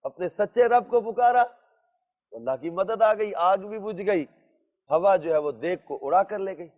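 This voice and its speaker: Male religious teacher, high voice, emphatic storytelling with repeated phrases, heard in a long hall reverb. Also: animated. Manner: emphatic, repeats phrases, poses rhetorical questions. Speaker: religious teacher telling moral stories. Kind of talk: religious storytelling lesson to listeners. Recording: muffled lo-fi audio in reverberant hall.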